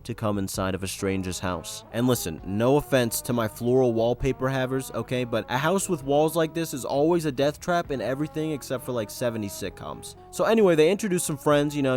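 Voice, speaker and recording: A man giving casual scripted commentary with joking asides and a dry, sarcastic, understated delivery. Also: medium pitch. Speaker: video essayist, host.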